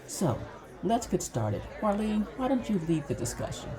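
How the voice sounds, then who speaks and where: A woman's voice: mid-range voice, sing-song, normal speed, a crowd in the background. A woman hosting a podcast, introducing the discussion in a casual, conversational style. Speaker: podcast host. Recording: background voices.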